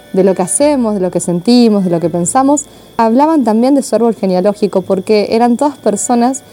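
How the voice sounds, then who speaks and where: A woman's voice: mid-range voice, mellow. A woman from Argentina with an Argentine accent, a massage therapist telling reflective personal stories to her viewers. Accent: Argentine Spanish. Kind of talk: personal storytelling vlog.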